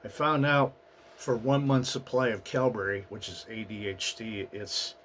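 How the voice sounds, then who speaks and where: A man hosting his own channel, talking through his past year in a halting, personal monologue, his voice raspy and hoarse from a recent flu. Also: fairly low pitch, a bit bright, slight background noise. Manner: halting, with fillers and restarts. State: getting over a flu, hoarse. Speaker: channel host. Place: at home in the US Northeast.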